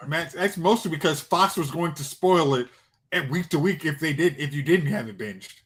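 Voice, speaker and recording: Man, fairly high voice, casual pop culture chat, podcast co-host. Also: moderate pace, a bit bright.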